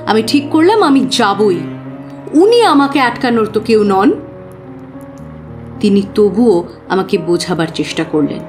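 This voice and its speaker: An actress playing an earnest young working woman who confides expressively and with pauses in an audio drama. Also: mid-range voice, animated, a little mellow, faint music behind, lightly acted. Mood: confiding. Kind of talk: dramatised audio story acting.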